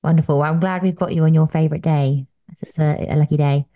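A woman's voice: low voice, steady tone, fast, mellow. A woman hosting a podcast, reading an upbeat scripted introduction and then chatting with her guest. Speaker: podcast host, dietitian.

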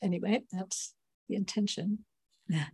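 A woman speaking slowly and lyrically in a reflective group conversation, her sentences trailing off into soft, whispered endings. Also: mid-range voice, steady tone, husky. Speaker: speaker sharing her work on language.

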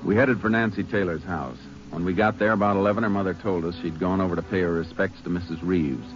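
Man as a calm lawman narrator in a 1950s radio western, very deep voice; dull old broadcast with faint music. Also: lightly acted. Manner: calm, measured, matter-of-fact. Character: steady Texas Ranger, calm lawman narrator.

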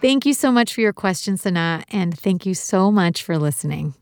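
A woman from Uruguay, the host of a podcast, reading scripted narration in a clear, evenly paced delivery. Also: medium pitch, lively intonation, normal speed, darkish tone, a little hiss or hum. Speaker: podcast host and executive producer.

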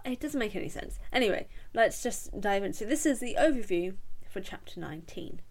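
A British woman hosting a solo book podcast, chatting casually with a blocked nose from a cold, full of ums and little giggles. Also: mid-range voice. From Britain. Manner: chatty and self-deprecating, with frequent ums and giggles. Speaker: podcast host.

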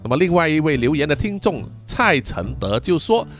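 Man, slightly high voice, animated intonation, casual podcast host, chatty particle-ending phrases, music behind. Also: moderate pace. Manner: chuckles often, frequent sentence-final particles. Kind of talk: casual podcast chat reading and answering listener comments.

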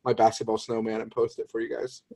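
A man's voice: fairly low pitch, monotone, fast, gravelly, warm tone. A man co-hosting a podcast in casual chat, with a conversational, playfully wry way of talking. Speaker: podcast co-host.

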